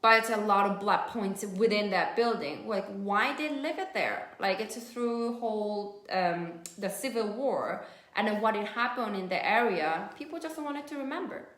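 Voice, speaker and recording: A chatty woman in her thirties with a Korean accent tells stories in loose, informal English. Her voice sits at a middle pitch, and the room around her sounds echoey. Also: lively intonation, moderate pace, fairly bright tone. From South Korea. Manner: chatty, storytelling, loose non-native grammar. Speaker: host of a live stream. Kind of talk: casual chat.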